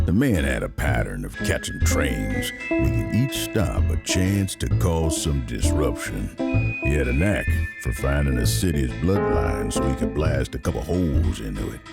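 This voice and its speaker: A man playing a big booming announcer, a formal and portentous storyteller narrating a Western audio drama with gravel in the voice. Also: full character voice. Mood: grand storytelling.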